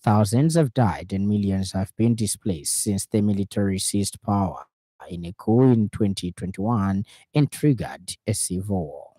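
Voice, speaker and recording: A male radio newsreader with a Zambian accent delivers a scripted bulletin in a low, very dark and mellow voice. The studio sound is dry and close. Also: a little slow, dry recording. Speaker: radio news reader. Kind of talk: scripted news bulletin reading.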